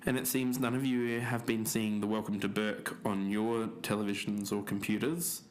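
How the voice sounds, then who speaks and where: A man's voice: on the low side, level tone, moderate pace, lightly acted. A man playing an uneasy podcast co-host, speaking in conversational narration about an investigation. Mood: uneasy, candid.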